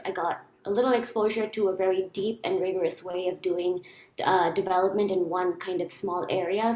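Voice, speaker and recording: A woman's voice: mid-range voice, a little mellow. Articulate young woman in an echoey room. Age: young adult. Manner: articulate and quick, with light fillers. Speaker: alumni panelist, researcher. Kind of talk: casual self-introduction. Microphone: computer mic on a video call.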